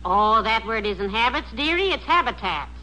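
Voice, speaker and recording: An actress playing a patient, gently correcting wife in old-time radio comedy. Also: female voice, fairly high pitch, big pitch swings, normal speed. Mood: gently teasing. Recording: reverberant, noisy vintage radio.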